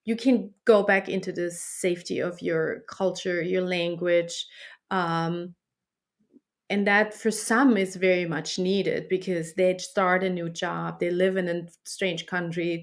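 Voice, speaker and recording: Halting German-accented woman. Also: lowish voice. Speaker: guest, dating app founder. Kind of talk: conversational interview.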